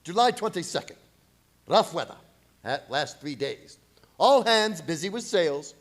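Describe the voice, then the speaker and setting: A man playing a grim, fearful foreign ship captain in a radio play, reading his log in clipped, halting broken English. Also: a little high, sing-song. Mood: grim, terse. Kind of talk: dramatic radio play narration.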